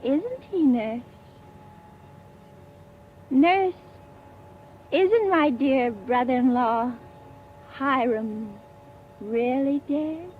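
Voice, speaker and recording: A woman playing a deranged, gloating murderous patient in a theatrical, halting 1940s radio style. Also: high voice, expressive, slight background noise, full character voice. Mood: hysterical triumph. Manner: theatrical, halting, lingering over words. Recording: muffled old radio recording, music underneath.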